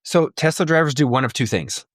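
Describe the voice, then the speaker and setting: A man's voice: fairly high pitch, brisk, no echo, studio quality. A man co-hosting a podcast, bantering casually with blunt, sweary and emphatic opinions. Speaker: podcast co-host.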